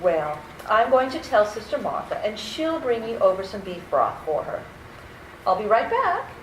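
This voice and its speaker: A woman's voice: medium pitch, very animated, moderate pace, lightly acted. A woman playing a sweet, daffy elderly aunt in a radio comedy, genteel, fussy and chirpy. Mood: kindly, bustling. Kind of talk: old-time radio comedy acting. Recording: roomy stage recording with faint noise.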